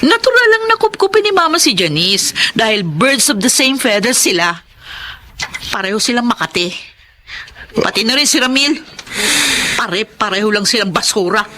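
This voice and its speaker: An actress playing a bitter, scornful young Filipino wife and defiant daughter in a radio drama, biting and melodramatic. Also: female voice, fairly high pitch, big pitch swings, moderate pace, long pauses, sharp tone, slight background noise.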